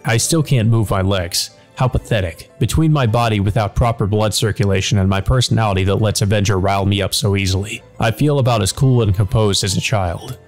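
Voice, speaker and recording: A proud, brooding combat mage, read aloud by a man. The voice sits fairly low and speaks gravely and deliberately through a visual novel monologue, with soft music underneath. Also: lightly acted. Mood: tense, irritated.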